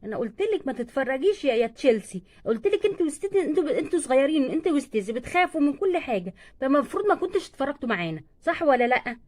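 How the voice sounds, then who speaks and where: A woman's voice: sits high, very animated, rapid-fire, few pauses, darkish tone. A woman voicing a bossy schoolgirl older sister in doll play, chattering and scolding with an Egyptian accent.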